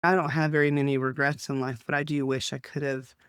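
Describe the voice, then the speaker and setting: A man's voice: mid-range voice, flat delivery, brisk, a bit bright, smooth, no room sound, studio quality. A man in his fifties with a Texan accent, hosting an interview in an enthusiastic, chatty way. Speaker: host.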